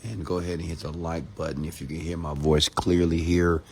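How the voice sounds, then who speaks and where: A man from Texas, hoarse after a seminar, hosting a late-night livestream, greeting viewers by name and giving motivational talk. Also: deep voice, flat delivery, fairly quick. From Texas, USA. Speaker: entrepreneur hosting a live stream.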